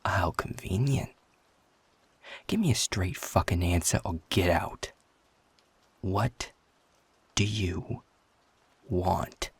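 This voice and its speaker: Man acting a low, husky, feisty werewolf. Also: slow pace, long pauses, exaggerated voice. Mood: irritated, defiant. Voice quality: husky, gravelly. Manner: sardonic, blunt, swearing, confrontational. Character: feisty, guarded young male werewolf, sarcastic and defiant. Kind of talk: intimate audio roleplay acting.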